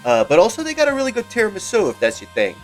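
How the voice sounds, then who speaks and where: A man's voice: high voice, huge pitch swings, very fast, faint music behind, pitched above the performer's own voice, rough recording. A game master voicing a brash, bossy middle-aged male gang boss who talks like a wheeler-dealer. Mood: boastful.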